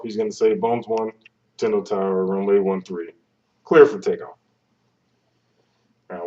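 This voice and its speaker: A man's voice: lowish voice, mellow. A man giving an informative explainer talk in a plain-spoken, conversational way, step by step, recorded on a webcam microphone. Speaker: air traffic control presenter. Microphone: webcam microphone at some distance. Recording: distant, dull webcam microphone sound.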